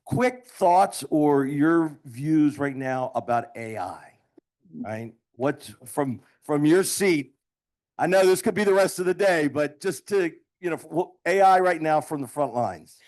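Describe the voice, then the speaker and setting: Audience member, man, big voice, jokey, fairly high pitch. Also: lively intonation, measured pace. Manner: loud, joking.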